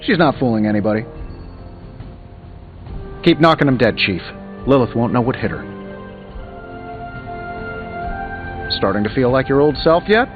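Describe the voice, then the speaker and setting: Guy doing a cocky american superhero inventor for a video game, swoopy sarcastic delivery, music playing loud behind him. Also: medium pitch, animated, a little fast, pauses often, lo-fi sound, natural voice. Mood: breezy, teasing. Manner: glib, sarcastic quipping. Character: cocky, wisecracking male tech genius superhero inventor. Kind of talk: scripted video game voice acting.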